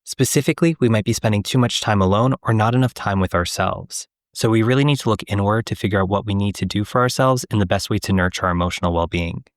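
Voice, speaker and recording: A male podcast host with a low voice, posing questions and reading out a measured segment. The recording is dry and close. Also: dry recording. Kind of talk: interview questions and scripted segment on tarot.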